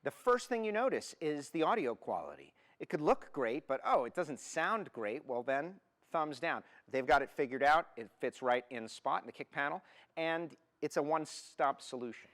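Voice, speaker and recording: A man from Miami co-hosting a car show, talking casually and colloquially with clear opinions about products. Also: on the high side, big pitch swings, normal speed, a bit bright. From Miami, United States.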